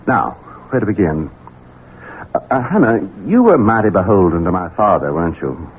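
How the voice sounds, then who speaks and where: A man's voice: low-pitched, very animated, normal speed, husky, heavily acted. A man playing a coldly cruel, scheming heir in a 1970s radio drama, taunting and theatrical. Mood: sly, insinuating. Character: menacing, scheming Southern heir, adult son, coldly cruel. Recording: tinny, band-limited 1970s radio with hiss.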